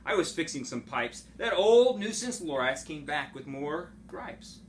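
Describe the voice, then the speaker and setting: A man reading a children's storybook aloud like a teacher, in a rhythmic, dramatic, expressive way. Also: fairly high pitch, a little slow. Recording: home recording with clear background noise.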